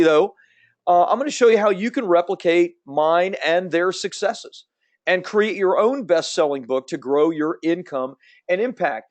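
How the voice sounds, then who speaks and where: A man in his fifties with a slight Southern American accent, hosting a promotional webinar and talking quickly in an upbeat, salesy way. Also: sits high. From Central Florida. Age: 50s. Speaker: webinar host. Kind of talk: fast promotional webinar presentation.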